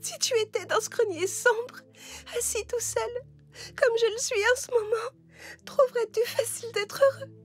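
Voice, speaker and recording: A woman's voice: very high-pitched, normal speed, faint music behind, voice pushed up. A woman voicing a cheerful little orphan girl in a children's storybook, earnest and sentimental. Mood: tearful, pleading. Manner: earnest, emotional.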